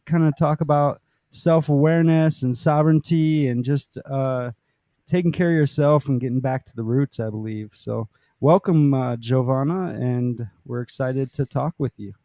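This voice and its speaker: A man hosting a casual podcast interview, talking in a halting way with many fillers. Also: mid-range voice, dark tone, no room sound. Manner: halting, many uhs and likes. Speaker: podcast host.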